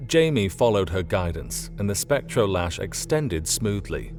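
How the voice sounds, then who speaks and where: A man reading a scripted story as an audiobook narrator, in an expressive storytelling manner. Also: low-pitched, measured pace, soft background music.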